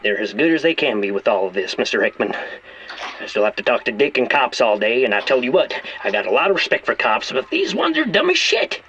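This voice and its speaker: Volatile hostage-taker, sharp and forceful. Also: male voice, mid-range voice, sing-song, fairly quick, slight background noise. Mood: agitated grumbling. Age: 40s. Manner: ranting, folksy, profane, repeats himself. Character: volatile, foul-mouthed 44-year-old male hostage-taker, aggrieved. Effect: telephone voice. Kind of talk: naturalistic audio drama acting. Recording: dull lo-fi telephone line.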